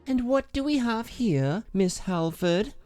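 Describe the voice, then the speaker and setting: Casting: stern, chiding lady teacher for a visual novel, played by a man; raised pitch, swooping delivery, soft dark tone. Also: lively intonation, takes it slowly, soft background music. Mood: mock-curious, chiding. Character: stern, sardonic female schoolteacher, patrolling and scolding.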